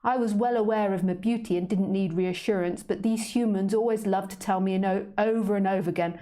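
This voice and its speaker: A woman's voice: medium pitch, fairly even intonation, brisk, mellow, lightly acted. A woman reading her storybook as a vain, proud male street cat who speaks in working-class London slang. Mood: smug.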